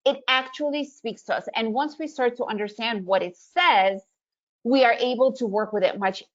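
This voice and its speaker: A woman's voice: fairly high pitch, normal speed, muffled recording. A woman raised in the US, a Russian speaker, giving an upbeat, chatty webinar presentation and often checking in with "right?". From Soviet Union, raised in the US. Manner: upbeat, chatty, leans on "right?" tag questions.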